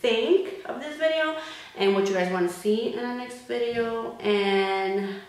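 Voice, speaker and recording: A young woman in her twenties vlogging casually to camera, animated and expressive, slipping Spanish words into her English. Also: a little high, measured pace. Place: bare indoor room. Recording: echoey bare room.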